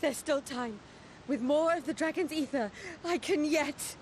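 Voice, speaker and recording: A woman's voice: high-pitched, measured pace. A woman playing an imperious, savage female wind demon in a video game, theatrical and menacing. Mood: enraged, desperate. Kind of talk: video game villain acting. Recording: loud music over the voice.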